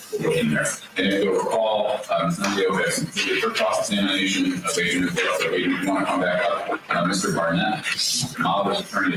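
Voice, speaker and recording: A man presiding as judge in a courtroom, speaking in a relaxed, halting way with frequent fillers. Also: low-pitched. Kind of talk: courtroom proceedings. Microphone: away from mic. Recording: echoey courtroom audio, far off mic, loud noise.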